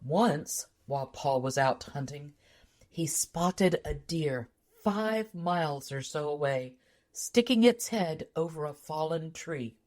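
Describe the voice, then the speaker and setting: A woman's voice: low voice, lively intonation, slow, a little mellow. A woman reading a tall tale aloud as scripted storybook narration. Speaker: audiobook narrator.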